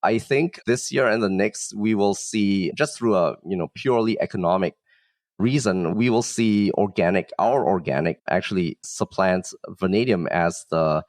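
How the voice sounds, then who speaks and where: Low-voiced man from Singapore, close and dry. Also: normal speed, a little mellow, dry recording. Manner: modest, explanatory, with frequent "like" and "you know" hedging. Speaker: startup founder, guest. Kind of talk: casual podcast interview.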